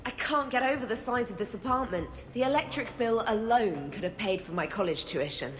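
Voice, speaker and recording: A woman's voice: fairly high pitch, animated, brisk, close to an everyday voice. A woman playing a chatty, wide-eyed and playful young crew member in a video game. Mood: amused wonder. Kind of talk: scripted game dialogue. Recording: music under the voice.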